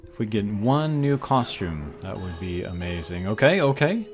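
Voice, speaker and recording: A man's voice: lowish voice, big pitch swings, normal speed. A man hosting a gaming channel, giving casual, hesitant commentary as he thinks aloud over mobile game pulls. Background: game music.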